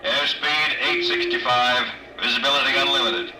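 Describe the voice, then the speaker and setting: Military pilot over noisy radio. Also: male voice, mid-range voice, steady tone, normal speed. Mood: official, clipped. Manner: clipped radio-procedure delivery. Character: clipped, official male military pilot. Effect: aircraft radio transmission. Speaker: actor. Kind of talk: 1950s radio drama acting. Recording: very noisy, echoey, distant radio link.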